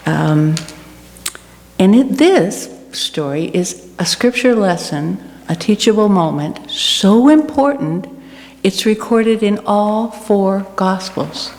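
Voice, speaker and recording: A woman with a slightly low voice delivers a sermon at a very unhurried pace. She sounds earnest and deliberate. The recording has the long echo of a hall and noticeable background noise. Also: a little mellow. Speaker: guest speaker giving a church reflection. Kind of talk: personal sermon reflection to a congregation.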